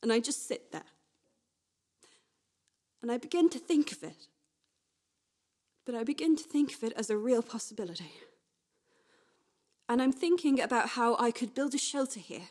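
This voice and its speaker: A woman playing a restless, wistful young woman in a confessional radio play monologue, speaking naturally in halting, repetitive phrases. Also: a little high, expressive. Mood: earnest, hopeful. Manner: halting, repetitive, swinging from hushed to agitated.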